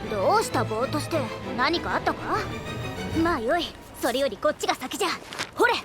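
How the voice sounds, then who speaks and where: A woman's voice: high voice, animated, fairly quick, over music. A woman voicing a bossy little female creature companion in an anime game, imperious, with old-fashioned elderly turns of phrase. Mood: excited, bossy. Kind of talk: Japanese anime game voice acting.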